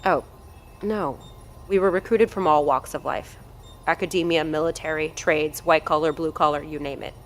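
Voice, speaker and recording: An actress playing an arrogant, impatient research doctor, haughty and cutting in an audio drama. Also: female voice, low voice, fairly even intonation, normal speed, faint music behind, close to an everyday voice. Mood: cool, dismissive. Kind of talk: scripted audio drama dialogue.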